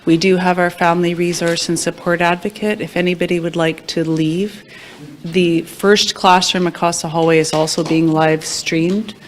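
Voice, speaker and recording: A woman moderating a press conference, making careful, gentle announcements with fillers. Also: on the low side, little pitch movement, moderate pace, slight background noise.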